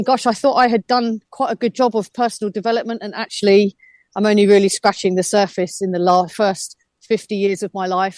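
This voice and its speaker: A woman's voice: medium pitch, a little mellow, no room sound. A woman in her fifties, a reflective, chatty guest on a radio interview who laughs easily. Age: 50s.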